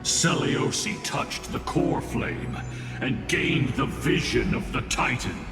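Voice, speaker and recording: A man narrating a game's history in a grave, measured way. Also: expressive. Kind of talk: solemn scripted game narration. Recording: deep echoing reverb under game music.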